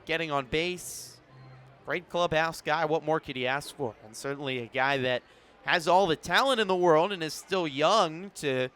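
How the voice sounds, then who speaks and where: An American man with a fairly high voice works as a play-by-play announcer. His delivery is measured and can climb into excited calls. Faint outdoor stadium noise sits behind the broadcast. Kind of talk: sports radio and TV play-by-play commentary.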